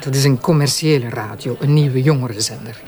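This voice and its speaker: A man's voice: mid-range voice, fairly quick, a little mellow, lightly acted. A man with a Flemish accent acting in a radio drama as a sceptical, scolding police superior, sarcastic and reproachful. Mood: wry.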